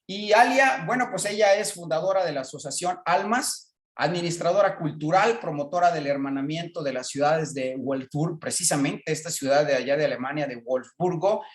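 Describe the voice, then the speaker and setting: A man's voice: on the high side, normal speed, few pauses. Roomy-sounding male radio host. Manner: rambling, many fillers. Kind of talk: radio interview.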